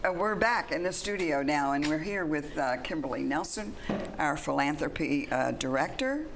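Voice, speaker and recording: A woman's voice: low-pitched, expressive, normal speed, faint music behind. A woman hosting a community TV interview, giving brief encouraging replies. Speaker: show host. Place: TV studio. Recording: faint background noise.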